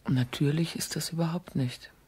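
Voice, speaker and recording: A woman acting a tender, anxious wife and mother in a German radio play, with a husky, breathy voice. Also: deep voice, moderate pace, darkish tone. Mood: hushed, confiding.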